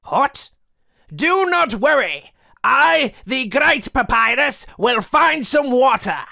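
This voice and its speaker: Childlike skeleton, grandiose, forceful, unhurried, earnest, kindly, comic dub. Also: male voice, extremely high pitch, expressive, gravelly, no echo, studio quality, heavily acted. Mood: reassuring. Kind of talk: cartoonish fan comic dub acting.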